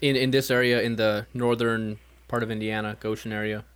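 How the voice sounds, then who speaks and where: A man's voice: fairly low pitch, flat delivery, a little fast, fairly bright tone, clean tone. A man with an American accent, chatting casually and asking questions as a co-host. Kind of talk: casual chat.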